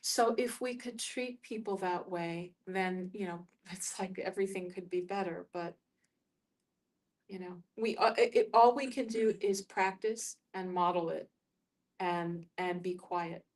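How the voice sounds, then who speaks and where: A woman in a reflective group discussion, speaking haltingly as she searches for her words. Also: fairly low pitch, darkish tone.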